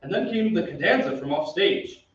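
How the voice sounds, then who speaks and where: Gothic storyteller played by a man, grave old-radio narration, slightly high, dull echoey stage sound. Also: expressive, moderate pace, far from the mic. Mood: grave, suspenseful. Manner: dramatic, suspenseful storytelling. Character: opera tenor recounting eerie events, grave storyteller. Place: theatre stage.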